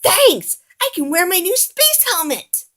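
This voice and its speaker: A woman voicing an excitable male creature in a storybook reading, loud and eager. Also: extremely high pitch, a little slow, voice pushed up, full character voice. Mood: excited.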